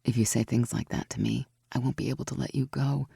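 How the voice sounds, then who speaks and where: Dark low-voiced warrior woman, calm, protective, played by a woman, storybook. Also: brisk, gravelly, husky, no room sound, studio quality, heavily acted. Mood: soft.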